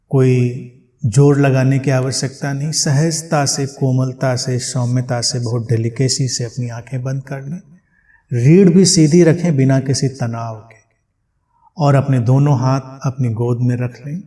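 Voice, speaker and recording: A man leading a guided meditation, speaking gently in short phrases with long pauses between them. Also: mid-range voice.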